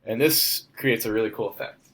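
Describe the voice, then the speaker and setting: A young man, a student, walking through a demo of his software project in an informal, step-by-step way. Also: lowish voice, fairly even intonation, normal speed, low-quality recording. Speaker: student presenting a class project.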